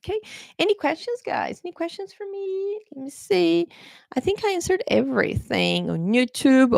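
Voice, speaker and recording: Brazilian woman teaching with lively gravelly voice. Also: on the high side, no room sound, studio quality. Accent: Brazilian Portuguese. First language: Portuguese. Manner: chatty, repeats key points, addresses viewers as guys. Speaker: English teacher. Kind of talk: live-streamed exam prep lesson.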